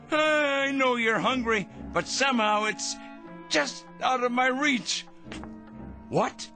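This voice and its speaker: An actor playing a pompous, paranoid megalomaniac in a radio drama, with a theatrical, feverishly excitable, ranting manner. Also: male voice, high-pitched, exaggerated voice. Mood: bewildered, terrified.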